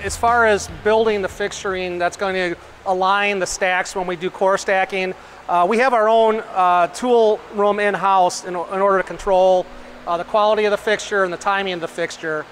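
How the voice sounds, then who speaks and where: A man's voice: high voice, steady tone, normal speed, a bit bright, a little hiss or hum. A middle-aged man explaining processes on a factory tour, matter-of-fact, with frequent ums and uhs, recorded on a lapel mic in a large machine shop. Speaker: company manager giving a shop tour.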